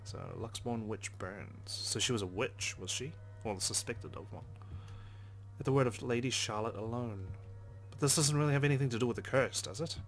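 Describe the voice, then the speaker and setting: A man's voice: medium pitch, moderate pace. A man reading visual novel narration aloud dramatically as a let's play host, with brief casual asides. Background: game background music.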